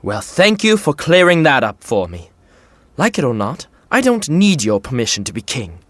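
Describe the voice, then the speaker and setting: Stressed, sarcastic young prince, swooping delivery. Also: male voice, mid-range voice, wildly swooping pitch, moderate pace, a bit bright, no room sound. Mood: bitter, subdued. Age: young adult. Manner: dry sarcasm, stammers when flustered. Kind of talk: modern audio drama acting.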